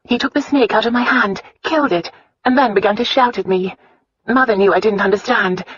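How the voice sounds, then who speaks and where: A woman voicing a spirited, candid young wife with an earnest, expressive way of talking in a romance audiobook. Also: on the high side, brisk, husky, dry recording, dull audio, pitched above the performer's own voice. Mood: matter-of-fact.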